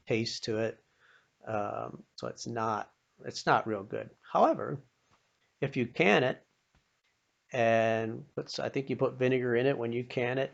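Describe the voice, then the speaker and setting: Gravelly-voiced older man telling stories. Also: mid-range voice. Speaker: storyteller. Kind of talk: informal spoken storytelling of personal anecdotes. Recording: dull, lo-fi sound.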